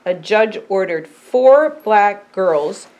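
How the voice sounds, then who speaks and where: A woman in her sixties who is a pastor, reading a picture book aloud in simple, deliberate phrases and explaining it as to a child. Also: medium pitch, lively intonation, a little mellow, muffled recording. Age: 60s. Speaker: pastor and storytime reader. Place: indoor storytime set by a fireplace.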